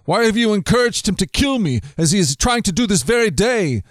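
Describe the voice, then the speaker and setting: A man reading aloud, voicing an angry, suspicious king who shouts accusations at his men. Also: a little high, normal speed, no echo, clean studio sound, pitched above the performer's own voice, close to an everyday voice. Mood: accusing. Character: furious suspicious old king, male.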